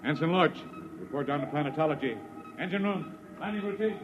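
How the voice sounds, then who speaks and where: An actor playing a businesslike starship officer relaying orders in a 1950s radio drama. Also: male voice, fairly high pitch, expressive, a little fast, lightly acted. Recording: muffled old radio broadcast, faint noise.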